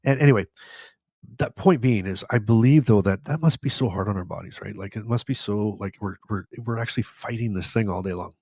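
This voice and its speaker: An older man with a fairly low voice speaks into a close studio microphone in a dry, dead room. He rambles openly through a live Q&A, addressing his listeners directly. His voice has gone a little tired and raspy. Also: fairly quick, no echo. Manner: rambling, warm-hearted, talks straight to viewers. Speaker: podcast host answering live questions. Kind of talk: live Q&A chat. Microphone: studio microphone with headphones.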